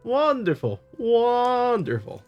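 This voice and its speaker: A man's voice: very high voice, huge pitch swings, takes it slowly, lo-fi sound, faint music behind, voice pushed up, heavily acted. A man voicing a middle-aged prioress in a visual novel, effusive, pious and politely apologetic. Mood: gushing.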